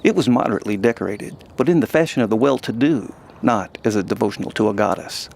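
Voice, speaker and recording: A man's voice: lowish voice, animated, fast, vocal fry, a little mellow, a little hiss or hum. A man reading scripted fantasy narration as the storyteller of an audio drama.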